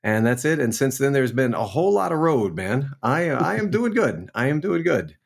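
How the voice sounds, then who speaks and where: A man in his forties from New Orleans, a guest actor telling his career story in a relaxed, chatty way on a remote call. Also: medium pitch, moderate pace, creaky. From New Orleans, Louisiana. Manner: relaxed, storytelling, frequent "man" and "you know". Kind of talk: casual interview chat.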